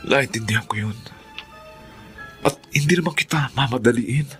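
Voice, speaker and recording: A man playing an earnest, patient, devoted young suitor in a Filipino radio drama, speaking gently and reassuringly. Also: medium pitch, normal speed, faint music behind, natural voice. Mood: tender confession.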